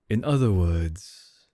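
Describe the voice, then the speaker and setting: A man playing a suave, dominant, flirtatious CEO in an intimate ASMR roleplay, speaking in a teasing, deliberate way. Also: mellow, dry recording, studio quality, full character voice. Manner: slow, teasing, deliberate, with low chuckles. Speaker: ASMR voice actor.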